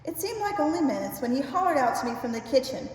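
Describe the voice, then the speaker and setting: A woman's voice: high-pitched, very animated, fast. Echoey older woman reading aloud. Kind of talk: reading a humorous essay aloud. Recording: very echoey reverberant room, faint hiss.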